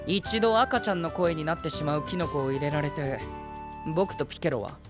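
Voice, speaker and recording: A male voice actor playing a proper, earnest young gentleman in an anime-style audio drama, formal and given to English exclamations. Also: fairly high pitch, lively intonation, normal speed, music in the background, lightly acted. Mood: polite.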